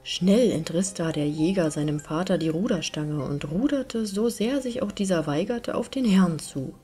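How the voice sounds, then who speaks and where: Low-pitched woman storyteller over soft music. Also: moderate pace. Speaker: storyteller, audiobook reader. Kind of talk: scripted fairy-tale narration.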